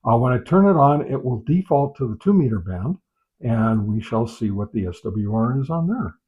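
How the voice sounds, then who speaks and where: A man's voice: medium pitch, big pitch swings, moderate pace. Man reviewing gear, slightly muffled sound. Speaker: host of a radio gear review channel. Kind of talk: unscripted product test commentary.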